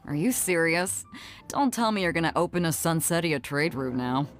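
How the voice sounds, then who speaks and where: Woman as a hearty, low-voiced sea captain. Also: moderate pace, natural voice. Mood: wary teasing. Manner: frank, breezy, swaggering. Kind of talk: video game voice acting. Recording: music behind the voice. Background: background music.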